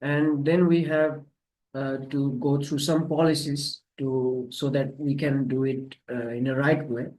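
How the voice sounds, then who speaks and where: A man hosting an online webinar, explaining its topics in a measured way. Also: mid-range voice, flat delivery, darkish tone, poor audio. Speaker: webinar host. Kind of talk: webinar presentation.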